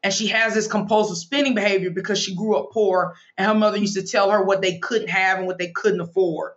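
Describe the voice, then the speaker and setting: 30s woman, money coach, talks straight and brisk, kinda muffled audio. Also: mid-range voice, fairly even intonation. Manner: brisk, emphatic, frank advice-giving. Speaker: financial planner and money coach. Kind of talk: candid interview talk.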